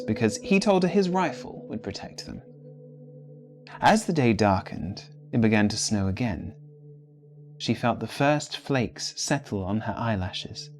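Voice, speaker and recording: A man with a low voice narrates a gothic literary tale. He phrases it deliberately, leaving pauses between clauses, and music plays behind him. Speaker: story reader. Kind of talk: dramatic literary audiobook narration.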